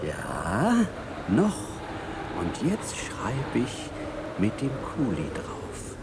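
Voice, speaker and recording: An actor in a German radio drama playing a scheming comedian with drawn-out, theatrical delivery, on a noisy cassette recording. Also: male voice, on the low side, huge pitch swings, exaggerated voice. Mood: slow, theatrical.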